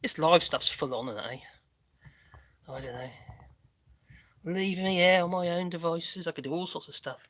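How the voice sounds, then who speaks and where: Rambling, bright-voiced Norfolk man. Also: fairly high pitch, normal speed, long pauses. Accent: Norfolk English. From Norfolk, England. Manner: rambling, chatty, laughs often. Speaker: livestream guest. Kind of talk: casual live-stream chat. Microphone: camera on tripod.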